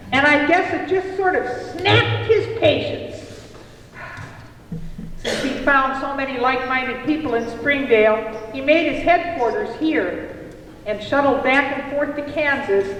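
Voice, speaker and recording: Heard from far off in a very reverberant hall, this is a high-voiced cafe proprietor from a historical puppet show, acted out by a performer. The delivery is slow, emphatic and theatrical, like a storyteller laying out grave news. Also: a bit bright. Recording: very echoey hall, distant mic, faint noise.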